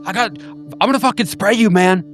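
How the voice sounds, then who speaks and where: Man playing an indignant cursing young passerby, pitched way up. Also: normal speed, fairly bright tone, faint music behind. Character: angry young male passerby.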